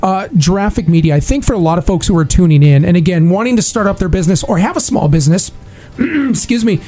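Upbeat radio host with a mellow voice. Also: male voice, high voice, animated, quick pace. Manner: eager, repeats and restarts words. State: dry voice, clearing throat. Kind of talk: live radio interview.

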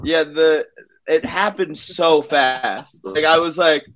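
A man telling a story as a guest comedian on a casual podcast, halting and repeating himself as he acts out exchanges. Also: a little high, fairly bright tone, lo-fi sound, slight background noise.

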